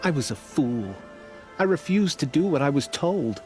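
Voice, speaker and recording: An actor playing a humble, remorseful outcast tribesman in a video game, speaking in an earnest, halting, solemn way. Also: fairly high pitch, sing-song, darkish tone, faint music behind. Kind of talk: video game character acting.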